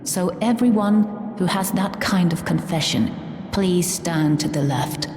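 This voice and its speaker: A woman playing a cold, implacable judge in a theatrical drama, stern, curt and deliberate. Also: fairly low pitch, darkish tone, natural voice. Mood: stern, lecturing. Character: cold, implacable female judge passing death sentences. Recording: echoey hall, long reverb, faint music.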